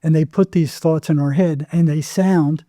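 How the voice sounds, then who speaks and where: A man's voice: on the high side, steady tone, normal speed, warm tone, no room sound, studio quality. A man speaking as an interview guest, telling anecdotes and explaining with emphatic, repeated phrasing. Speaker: psychotherapist, podcast guest.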